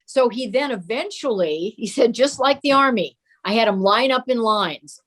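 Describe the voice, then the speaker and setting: A woman's voice: on the high side, animated, normal speed, a bit bright. An older woman, an interview guest, casually telling family memories.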